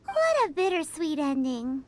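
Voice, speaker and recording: A woman voicing a tiny, childlike, excitable fairy companion in a video game, with a squeaky, exclamatory delivery. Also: measured pace, background noise, full character voice. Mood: chatty. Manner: refers to herself in third person, exclamatory. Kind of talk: scripted video game voice acting. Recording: game music under the voice.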